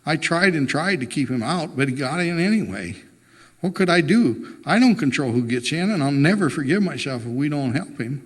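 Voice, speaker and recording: A man's voice: fairly high pitch, expressive, normal speed, in a large hall. A man voicing an earnest, morally insistent wife in a storybook reading, impassioned and pleading with her husband.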